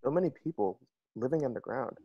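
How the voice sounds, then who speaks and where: A man's voice: mid-range voice, animated, a little fast, slightly rough, dry recording, dull audio. A man playing a plainspoken, wry young rebel soldier in a script reading. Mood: focused, wry.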